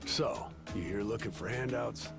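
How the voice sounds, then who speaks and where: A man playing a gruff, suspicious middle-aged barkeep in a video game, with a sardonic, grudging manner. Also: lowish voice, moderate pace, music in the background. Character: gruff, suspicious barkeep father, former treasure hunter. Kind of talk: English video game voice acting.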